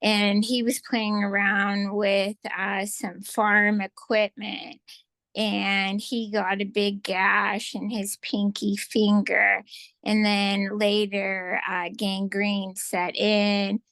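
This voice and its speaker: A woman guest in a casual interview who shares reflective stories, often pausing with fillers. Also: mid-range voice, flat delivery, vocal fry, a bit bright, no echo. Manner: reflective storyteller, lots of fillers.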